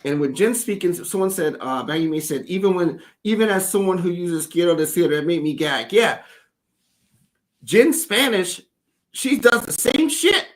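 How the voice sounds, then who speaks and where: A man chatting on a livestream, with a voice pitched a little high. He tells his story loosely and with energy, and swears now and then. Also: moderate pace. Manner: animated, loose storytelling with profanity. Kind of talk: casual livestream chat.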